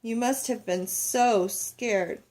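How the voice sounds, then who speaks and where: A woman's voice: on the high side, slow pace, a little mellow. A woman voicing a curious, earnest young girl in a children's storybook, asking and telling things eagerly. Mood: concerned. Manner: eager, questioning. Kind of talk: children's storybook read-aloud.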